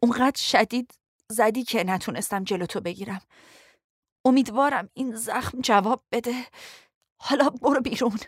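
A woman's voice: on the high side, darkish tone, no echo, clean studio sound, natural voice. A woman voicing a bossy, desperate and injured young woman in an audiobook story, snappish and strained. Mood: strained, urgent. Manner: snappish, strained through gritted teeth.

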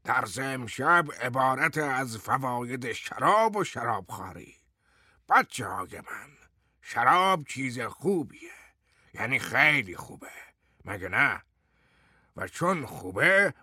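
A man's voice: medium pitch, pushed and bright, breathy edge, no echo, studio quality, heavily acted. A man voicing a sullen, ragged old father-figure who lectures like a stern schoolmaster in an audiobook story. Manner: stern, deliberate mock-schoolmaster delivery.

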